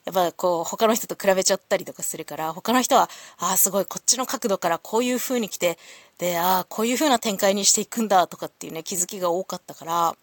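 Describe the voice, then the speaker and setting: A woman's voice: mid-range voice, no room sound. A woman hosting a solo audio show, talking casually to listeners in a polite, reflective, explanatory way.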